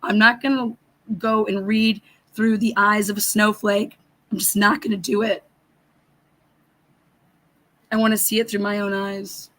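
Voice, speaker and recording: A middle-aged woman hosting a casual livestream, hoarse and raspy, reading viewer comments and ranting bluntly with lots of swearing. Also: medium pitch, flat delivery, unhurried, long pauses, a bit bright, rough recording. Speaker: livestream host.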